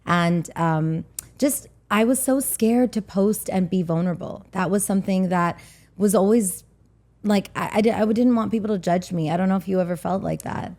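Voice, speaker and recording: A woman's voice: medium pitch, moderate pace, a little mellow. A woman from Hong Kong co-hosting an interview podcast, talking openly in casual chat, often restarting her sentences. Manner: open, laughing, restarts often. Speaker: podcast co-host, beauty entrepreneur.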